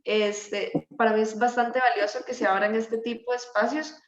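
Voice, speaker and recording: A woman's voice: on the high side, fairly even intonation, moderate pace. A woman with a Costa Rican accent giving an informal explanatory presentation over an online call. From Costa Rica. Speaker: presenter, climate activist. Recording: dull, echoey online call audio.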